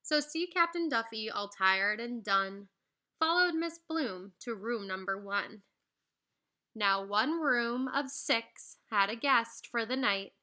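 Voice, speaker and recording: Woman, children's read-aloud, slow pace, piercingly bright tone, animated singsong storytelling. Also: on the high side. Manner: animated, singsong rhyming delivery with teacherly asides. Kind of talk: expressive children's picture book read-aloud.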